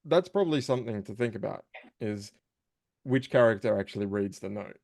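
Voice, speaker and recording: Man, conversational and thoughtful, lowish voice with vocal fry, tentative phrasing, dry recording. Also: expressive, brisk, darkish tone, no room sound. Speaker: voice actor, guest. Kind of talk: casual conversation.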